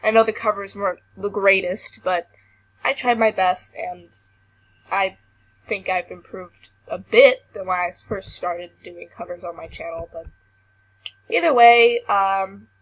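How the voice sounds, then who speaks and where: A woman's voice: medium pitch, low-quality recording. A young woman who is sick and losing her voice, reading a creepy story aloud with some stumbles, then chatting casually to her viewers. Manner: halting reading that stumbles and restarts words. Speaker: YouTuber.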